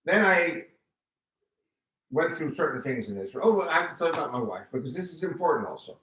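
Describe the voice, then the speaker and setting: Bright-voiced older man telling stories. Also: on the high side, quick pace, far from the mic, a little hiss or hum. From United States. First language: Spanish. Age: 70s. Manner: animated storyteller, acts out quoted dialogue. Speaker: guest speaker giving testimony. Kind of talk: personal testimony to a live audience. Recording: roomy hall, voice picked up from afar.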